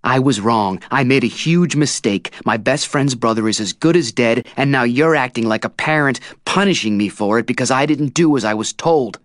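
A man's voice: on the low side, moderate pace, no echo, clean studio sound, pitched above the performer's own voice, lightly acted. A man voicing a defensive young man arguing hotly with his girlfriend. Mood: heated. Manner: heated, rising to shouting.

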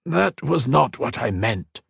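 A man's voice: mid-range voice, very animated, slow pace, creaky, a bit bright, breathy edge, no echo, studio quality, pitched above the performer's own voice, heavily acted. A man voicing an anguished male scientist who pleads for his creation in a strained voice. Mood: desperate. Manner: pleading, strained, rising to outbursts.

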